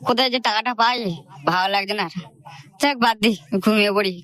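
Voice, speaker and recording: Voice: mid-range voice, a little fast, pushed and bright, smooth, no room sound, lightly acted. A performer voicing a cheeky, whining, foul-mouthed grown son in a comedy cartoon, brash and complaining. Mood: sulky grumbling.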